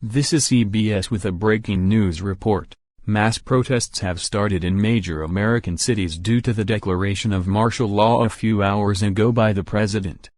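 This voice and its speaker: Serious news reporter played by a man, low voice, dry close sound, scripted bulletin delivery. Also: fairly even intonation, moderate pace, few pauses, darkish tone, no echo, natural voice.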